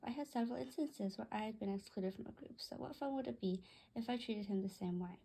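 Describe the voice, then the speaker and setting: A woman's voice: fairly high pitch, gravelly, mellow, husky, poor audio. A woman reading a story aloud, occasionally stumbling over words and correcting herself. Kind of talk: read-aloud story narration.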